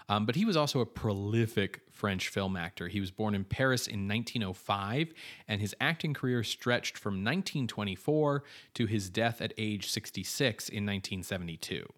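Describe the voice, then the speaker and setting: A man's voice: fairly low pitch, fairly bright tone. A man co-hosting a film podcast, reading background notes on cast and crew in an informative way, with casual fillers and asides. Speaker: podcast co-host.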